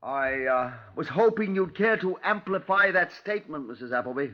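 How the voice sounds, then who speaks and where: A man's voice: fairly high pitch, expressive, lightly acted. Man as formal, muffled radio police inspector. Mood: politely probing. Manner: formal, measured, politely insistent. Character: courteous, persistent Scotland Yard chief inspector, narrating his case. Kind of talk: old-time radio crime drama narration and dialogue. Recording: dull 1950s radio recording.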